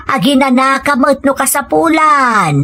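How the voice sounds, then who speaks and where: A woman's voice: high-pitched, unhurried, sharp tone, exaggerated voice. A woman with an Ilocano accent playing a kindly, reassuring older village woman in an audio drama. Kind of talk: audio comedy-drama acting.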